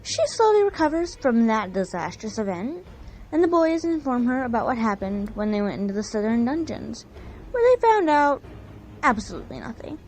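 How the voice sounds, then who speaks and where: A woman's voice: a little high, very animated, normal speed, darkish tone, slight background noise, poor audio. A woman narrating a scripted recap of an audio drama story. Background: faint music.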